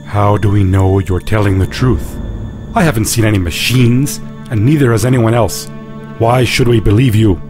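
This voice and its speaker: A man's voice: on the low side, moderate pace, close to an everyday voice. A man acting a plain-spoken, questioning spaceship crewman in a science fiction audio drama. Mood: suspicious. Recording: music clearly under the dialogue.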